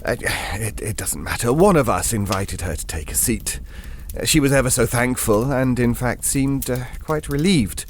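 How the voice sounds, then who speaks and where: A man's voice: mid-range voice, expressive, normal speed, a little hiss or hum, close to an everyday voice. An actor playing a genteel older Englishman, telling a ghost story in an audio drama in a hesitant, digressive, politely formal and wistful way. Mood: reflective, hesitant.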